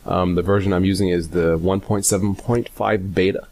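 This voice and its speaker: A man giving a casual software tutorial, hesitant and informal as he thinks aloud. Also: low-pitched, fairly even intonation, normal speed, slight background noise. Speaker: tutorial host.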